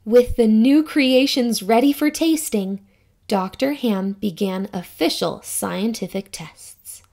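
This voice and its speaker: A young woman reading a children's story aloud in a theatrical, expressive storytelling manner. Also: fairly high pitch, slow, gravelly. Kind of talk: expressive scripted read-aloud narration for children.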